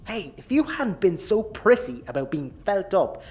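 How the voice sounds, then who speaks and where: An animated, cheeky Irish chancer. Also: male voice, high-pitched, moderate pace. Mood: bickering. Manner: chatty, flustered bluffing. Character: cheeky, bumbling young male would-be gun smuggler. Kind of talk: audio drama acting.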